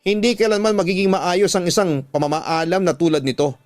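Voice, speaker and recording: A man's voice: high-pitched, steady tone, fairly quick, a little mellow, dry recording, natural voice. A man playing a heartbroken, bitter jilted lover in a radio drama, speaking with reproachful emphasis over music. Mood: bitter, emphatic. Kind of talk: Filipino radio drama acting.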